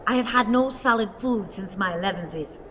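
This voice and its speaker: A woman's voice: mid-range voice, fairly quick, a bit bright, long reverb tail, slight background noise, close to an everyday voice. An actress playing a frail, melodramatic old wife in a radio play, plaintive and genteel in her wordy delivery. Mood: weak, plaintive. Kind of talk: theatrical radio play acting.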